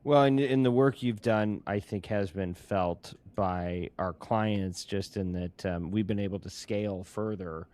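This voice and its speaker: A man hosting a conversational industry interview, speaking haltingly and repeating words as he works toward his questions. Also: lowish voice, a little mellow. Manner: halting, stammering word repeats while forming questions. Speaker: podcast host.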